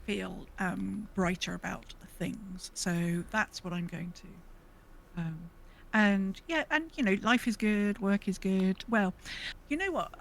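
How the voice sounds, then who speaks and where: A woman chatting casually as a podcast host, telling stories in an animated way and acting out what people said. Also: mid-range voice, takes it slowly, creaky, slight background noise, low-quality recording. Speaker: veterinary clinic worker. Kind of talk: casual chat.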